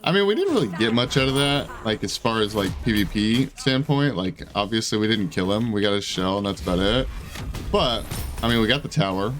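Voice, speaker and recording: A man's voice: fairly low pitch, expressive, fairly quick, a bit bright. A man giving casual, rambling gameplay commentary in slangy gamer talk, sounding congested, with his voice slightly giving out. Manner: slangy, rambling, self-deprecating, says dude and bro. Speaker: gaming YouTuber.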